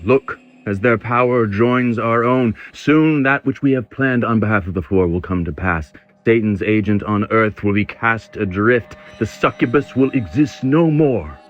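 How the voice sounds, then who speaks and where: An actor as a middle-aged zealot leading a robed cult in an audio drama. He is low-voiced, grandiose and ceremonial, with a muffled sound and music underneath. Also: unhurried, few pauses, natural voice. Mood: exultant.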